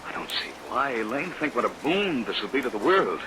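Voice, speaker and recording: Male actor as eager assistant and narrator, vintage radio melodrama. Earnest, expressive intonation, very noisy rainy recording. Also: mid-range voice, fairly quick, close to an everyday voice. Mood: earnest, defensive. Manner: earnest, dramatic. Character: earnest, eager male research assistant telling his story. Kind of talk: vintage radio drama acting and first-person narration. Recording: vintage radio recording, loud rain noise.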